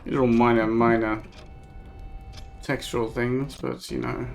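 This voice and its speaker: A male streamer reads a text game aloud in steady second-person narration, now and then stopping to point out small errors. His voice sits at an average pitch. Soft music plays faintly behind him. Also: vocal fry. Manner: measured narration broken by quick remarks on typos. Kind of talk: reading interactive fiction aloud with asides.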